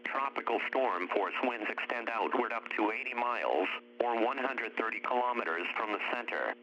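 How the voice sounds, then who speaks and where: Male weather radio announcer, sharp bright tone, scripted bulletins, dull lo-fi band. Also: medium pitch, slight background noise.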